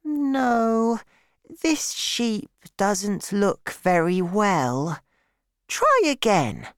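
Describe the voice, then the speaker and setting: A narrator voicing a small boy in a storybook, gentle but insistent, with slow, deliberate and simple speech. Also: mid-range voice, big pitch swings, clean tone, no echo, studio quality, voice pushed up, exaggerated voice. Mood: critical, insistent. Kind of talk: storybook character voice.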